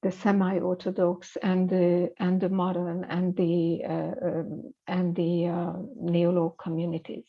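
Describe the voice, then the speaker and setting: A woman's voice: lowish voice, monotone, slow pace, creaky, muffled recording. A woman speaking as a scholar in an interview, hesitant and thoughtful, full of fillers and restarts. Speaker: scholar guest. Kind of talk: conversational interview.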